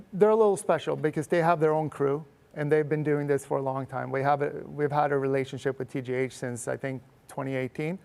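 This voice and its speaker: Guest: middle-aged man from Sweden, gravelly edge, steady plain explainer. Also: fairly high pitch. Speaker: guest, company representative. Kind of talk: casual TV interview answers.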